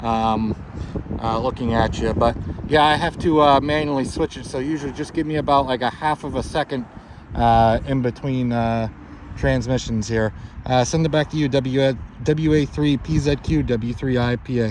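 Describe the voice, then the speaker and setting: A man working as an amateur radio operator, talking casually and technically in a halting way, with call signs and signal reports. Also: medium pitch, steady tone, noisy background.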